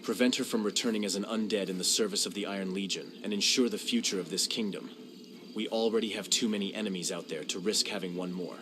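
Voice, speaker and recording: A man voicing a stern, ruthless High Inquisitor, firm and cold. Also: fast, noisy background, natural voice.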